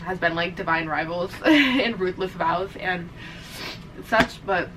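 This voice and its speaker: A young woman with a stuffed-up, teary voice rambles to camera, full of "like" and "literally." She sits back from the mic, and a clear hum of room noise runs underneath. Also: on the low side, slow pace, far from the mic. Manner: emotional, rambling, swears, says "like" and "literally" often. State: congested, teary. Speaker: reading vlogger. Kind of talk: casual vlog chat to camera.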